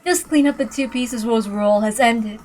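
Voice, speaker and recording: A cruel witch played by a woman from a visual novel reading. She taunts and gloats theatrically in a high feminine voice. Faint music plays behind her. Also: moderate pace, darkish tone, close to an everyday voice. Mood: cruel gloating. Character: cruel, gloating female witch with cat minions.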